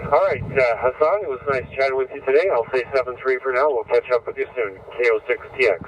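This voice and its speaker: A man's voice: mid-range voice, fast talker. A man chatting casually and telling stories as an amateur radio operator, heard through a two-way digital radio. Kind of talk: casual storytelling over the radio. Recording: muffled, noisy, distant radio speaker audio.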